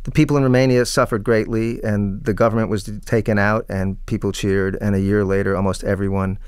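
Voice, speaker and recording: A man's voice: lowish voice, flat delivery, a little fast, darkish tone, clear, resonant tone, no room sound, studio quality. A middle-aged or older man, a playwright talking reflectively as an interview guest.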